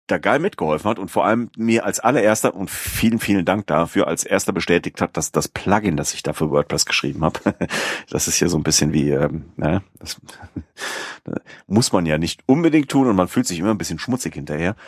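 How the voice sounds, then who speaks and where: A man's voice: low-pitched, moderate pace, husky, no room sound, clean studio sound. A man hosting a podcast in casual chat, rambling with many fillers and restarts. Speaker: podcast host.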